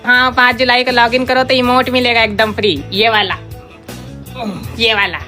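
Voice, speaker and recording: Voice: mid-range voice, fairly even intonation, normal speed, bright, forceful tone, faint music behind, lightly acted. A performer voicing a cheeky, demanding young son in a comic cartoon, talking in casual colloquial speech. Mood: eager.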